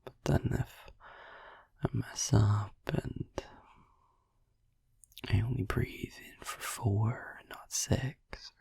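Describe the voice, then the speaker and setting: A man playing a gentle, caring vampire boyfriend in a soft, halting, breathy whisper for a sleep-aid ASMR roleplay, close to the microphone. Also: fairly low pitch, fairly even intonation, no room sound, full character voice. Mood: musing, soft. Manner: soft, halting, soothing, casual. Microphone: close, dry microphone.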